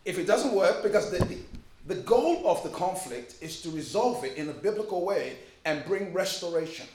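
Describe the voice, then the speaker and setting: A man's voice: sits high, animated, normal speed, darkish tone, roomy sound. A man from Liberia preaching a sermon as a pastor, emphatic and joking, with repeated phrases. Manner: emphatic preaching with humor and repetition.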